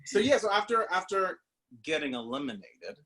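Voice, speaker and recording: A man's voice: sits high, big pitch swings, moderate pace, pushed and bright, rough recording. A man from the Bahamas, a stage actor, telling his story in a casual interview over a video call, chatty and full of fillers. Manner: chatty, with many fillers and restarts.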